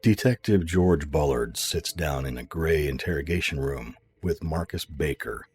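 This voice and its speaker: Very deep, husky male narrator. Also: lively intonation, normal speed, darkish tone, faint music behind. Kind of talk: scripted audio drama narration.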